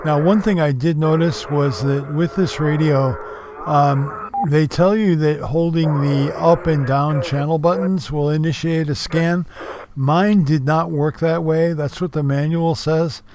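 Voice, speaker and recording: A man with a slightly higher-than-average voice narrates a product review. His delivery is casual and explanatory, with informal phrasing as he walks through features. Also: steady tone, measured pace, darkish tone, slight background noise.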